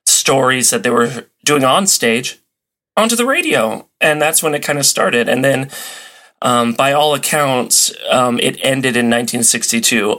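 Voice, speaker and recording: A man's voice: mid-range voice, sharp tone. A man speaking as a podcast guest, explaining things in a casual, colloquial and enthusiastic way. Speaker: podcast guest, old-time radio enthusiast. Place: remote video call.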